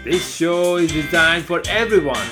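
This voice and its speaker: A man's voice: fairly high pitch, lively intonation, measured pace, over music. Male podcast host interviewing.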